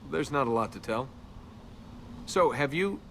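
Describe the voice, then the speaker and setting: Casting: flippant young rogue adventurer for a video game, very lively pitch swings, sarcastic banter, clear background noise. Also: male voice, a little slow. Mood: teasing, evasive. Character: cocky, wisecracking young male adventurer and tomb robber.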